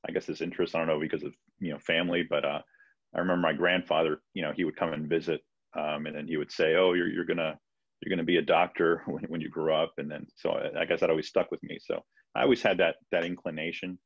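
A man's voice: low-pitched, little pitch movement, fast, creaky, husky, dry recording. A man in his thirties from Peru, raised in Florida, a physician answering interview questions on a video call in a hesitant way, with many fillers and restarts. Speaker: physician guest. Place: home room, video call. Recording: dull lo-fi video call audio.

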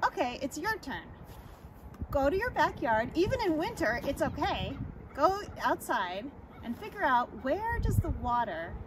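A woman giving an animated, instructive explainer to camera out in a yard. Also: high-pitched, measured pace. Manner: animated, instructive, gesturing as she walks. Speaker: rain garden educator, presenter. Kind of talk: outdoor educational explainer to camera. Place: snowy residential yard outdoors. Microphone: camera several metres away. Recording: distant mic outdoors, loud ambient noise.

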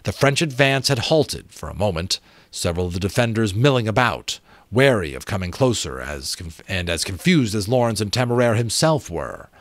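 Man, expressive audiobook reader, lowish pitch, animated intonation, close dry recording. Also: normal speed, no room sound. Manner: expressive, emphatic storytelling. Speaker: audiobook reader and channel host. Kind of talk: dramatic reading of an adventure novel. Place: small room at home with bookshelves. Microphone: close desk microphone.

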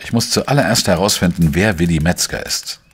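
An actor playing a weary, dogged journalist who narrates a crime radio play in a calm, understated way. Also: male voice, deep voice, fairly quick, breathy edge. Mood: sober, troubled.

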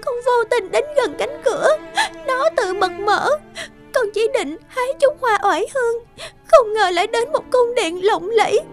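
A woman voicing a gentle, loyal young princess in a cartoon fairy tale, polite and earnest. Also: extremely high pitch, normal speed, soft background music. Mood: tearful.

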